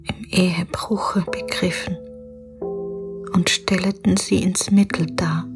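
An actress playing a guilt-ridden, anguished poor woman at prayer in a German radio drama, whispering and breathy. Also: fairly low pitch, level tone, over music. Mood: hushed, fearful reading. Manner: very slow, halting, fervent.

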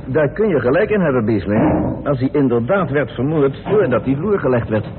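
A methodical male investigator played by an actor who leads with polite insistence in a mid-pitched voice. The sound is an old radio play, thin and noisy. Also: sing-song, moderate pace, narrow, tinny audio, natural voice. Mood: reasoning, insistent. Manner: polite but insistent, explanatory. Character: brisk, methodical male chairman of amateur sleuths. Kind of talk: Dutch radio drama acting.

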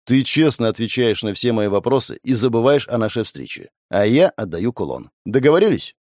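A man's voice: medium pitch, very animated, fairly quick, darkish tone, no echo, clean studio sound, lightly acted. A man playing a cool, commanding, contemptuous young demon summoner in a fantasy audiobook. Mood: coolly threatening.

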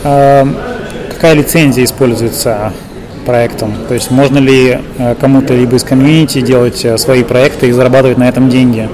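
A man interviewing informally, halting and rephrasing his questions as he goes. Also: medium pitch, fairly even intonation, low-quality recording. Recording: clear crowd noise behind. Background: conference crowd.

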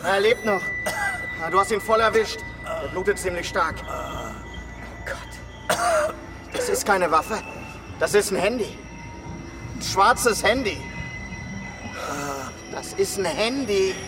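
Very high-pitched agitated policeman. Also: slow, pauses often, recorded from a distance, music in the background. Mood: shocked. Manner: excitable, grumbling. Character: agitated, grumbling male police officer, defiant subordinate. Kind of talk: radio crime drama acting.

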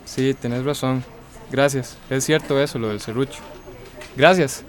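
A man's voice: mid-range voice, steady tone, unhurried, close to an everyday voice. A man with a Costa Rican accent playing an anxious, self-doubting office employee in a radio soap opera. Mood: uneasy, modest.

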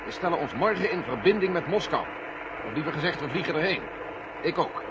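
A male actor as a military general in an old radio play, earnest and persuasive. His voice sits slightly high for a man. The recording is dull and thick with loud noise. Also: expressive, brisk, lightly acted. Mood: grave. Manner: urgent, persuasive. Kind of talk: Dutch radio drama acting.

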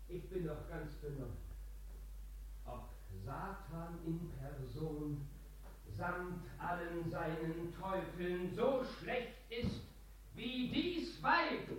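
A betrayed comic suitor on a theatre stage. He declaims verse theatrically at a very slow, drawn-out pace. The voice is distant and strongly reverberant, with faint noise. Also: high-pitched, expressive, fairly bright tone, heavily acted. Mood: stunned, bitter. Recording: very echoey theatre stage, far off.

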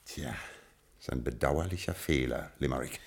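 A low-pitched gentleman gangster, a suave lord of old Scottish stock who has become a crime boss. He speaks with aristocratic understatement and dry irony, coolly menacing, in the manner of 1960s radio drama. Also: moderate pace, vocal fry, breathy edge, exaggerated voice. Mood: nonchalant, ironic. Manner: aristocratic, dryly ironic, understated. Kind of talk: 1960s German radio play acting.

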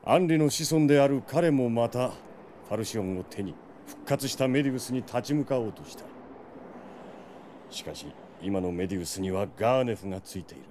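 An actor playing a loyal, solemn knight captain in a fantasy audio drama, speaking in formal, deferential courtly language. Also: male voice, medium pitch, lively intonation, fairly quick, dark tone, slight background noise, lightly acted. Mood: grave.

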